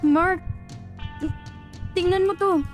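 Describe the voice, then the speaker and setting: A woman's voice: very high voice, steady tone, drawn-out words, faint music behind, voice pushed up, full character voice. A woman playing an anxious young woman traveler. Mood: urgent. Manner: urgent, questioning, anxious. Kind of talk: audio horror drama.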